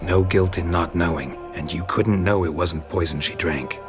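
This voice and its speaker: An actor playing a gruff, stoic monster hunter in a video game, speaking in terse, deadpan, gravelly lines. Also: male voice, low voice, moderate pace, lightly acted. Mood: grim, matter-of-fact. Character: gruff, stoic male monster hunter, middle-aged, wary and blunt. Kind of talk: video game dialogue acting. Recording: loud game music behind.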